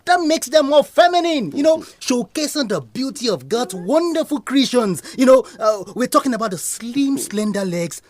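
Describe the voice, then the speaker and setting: A woman playing a brash, money-minded pageant organiser in an audio drama, forceful and mocking, with a Nigerian accent. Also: a little high, sing-song, moderate pace, few pauses. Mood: teasing.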